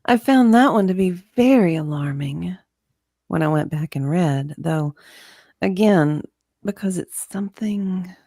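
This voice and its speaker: A woman reading the part of a guarded, politely stubborn witness in a dramatized deposition, measured and evasive. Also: lowish voice, expressive, vocal fry, mellow, no room sound, studio quality, exaggerated voice.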